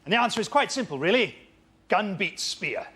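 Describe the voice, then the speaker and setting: Man in mock tour-guide patter, loud noise. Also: high voice, big pitch swings, brisk. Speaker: comedian. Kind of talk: improvised quickfire sketches.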